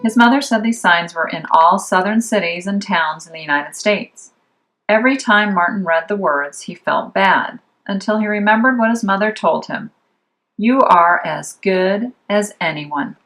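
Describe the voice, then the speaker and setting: A woman narrating a children's picture book as a storyteller, with measured, deliberate phrasing over music. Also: medium pitch, a bit bright, poor audio. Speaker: read-aloud storyteller. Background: music behind the voice.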